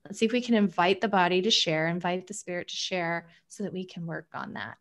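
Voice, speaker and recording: A woman therapist guest in an interview, explaining coping methods in a lively, animated way. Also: on the low side, brisk. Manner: animated, explanatory, acts out quoted thoughts. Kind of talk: interview conversation.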